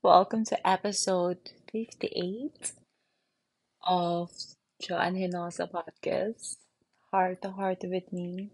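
A Filipino woman hosting her own podcast from her bed, with a Filipino accent, speaking softly and soothingly and guiding the listener through a gentle check-in. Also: on the low side, little pitch movement. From Philippines. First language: Tagalog. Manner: soft and soothing, slow, with frequent small laughs. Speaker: podcast host. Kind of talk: gentle guided reflection and casual chat. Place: bedroom, sitting on the bed.

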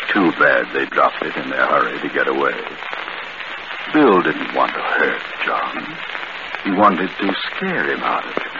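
Slow sinister old-radio narrator, gloating n dramatic, muffled noisy broadcast recording. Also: male voice, heavily acted. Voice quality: deep. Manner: taunting, dramatic, deliberate. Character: sinister, mocking, all-knowing phantom narrator, gloating male storyteller. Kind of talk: 1940s radio suspense narration.

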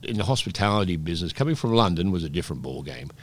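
A man in his sixties, raised in Peru, telling rambling stories with many restarts as a guest in a relaxed interview. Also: sits low, racing. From Scotland, raised in Peru. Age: 60s. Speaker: guest, oyster bar operator.

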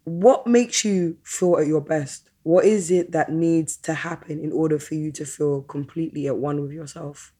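A woman's voice: low voice, normal speed, hardly any pauses, mellow, slightly rough. A woman hosting a casual podcast interview, speaking in an informal, slangy way.

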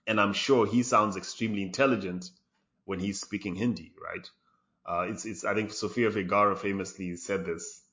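A man's voice: on the low side, steady tone, normal speed, a bit bright, highs cut off. A man talking reflectively in a podcast interview, with many fillers and restarts. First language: English. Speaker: design lead guest.

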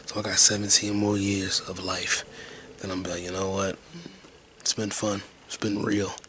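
A man in his twenties rambling alone on his podcast in a detached, low-energy, half-whispered way. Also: little pitch movement, moderate pace, pushed and bright, a little hiss or hum. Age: 20s. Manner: low-key, detached, mumbling. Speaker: podcast host. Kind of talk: rambling solo podcast monologue.

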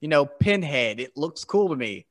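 A man's voice: on the high side, very animated, moderate pace. A man hosting a casual interview podcast, talking in an enthusiastic, chatty way. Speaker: podcast host.

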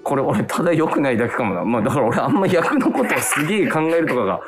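A man's voice: a little high, sing-song, fast talker, over music. A man with a Kansai accent chatting casually as an interview guest, modestly thinking aloud. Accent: Kansai Japanese. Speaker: guest stage actor.

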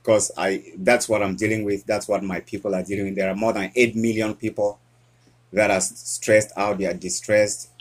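Earnest Cameroon-born male guest, husky, halting delivery. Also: fairly low pitch, level tone. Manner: earnest, halting with frequent restarts. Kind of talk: casual interview conversation.

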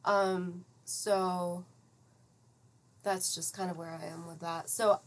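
A woman in her thirties from the United States, talking reflectively and in measured explanations as a guest in a conversational interview. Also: lowish voice, flat delivery. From United States (Atlanta, Georgia). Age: 30s. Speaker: podcast guest, psychiatric pharmacist.

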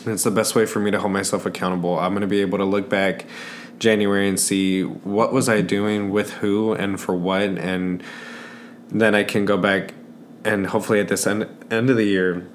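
A man's voice: on the low side, little pitch movement, normal speed, a little hiss or hum. A man in his twenties hosting a podcast, talking casually and personally in an earnest, reflective way. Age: 20s. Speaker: podcast host.

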